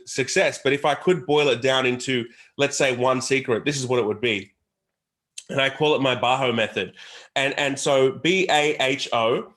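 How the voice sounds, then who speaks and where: A man's voice: mid-range voice, fairly bright tone. A man with an Australian accent answering interview questions as a guest entrepreneur, in an earnest, emphatic, motivational way with repeated phrases.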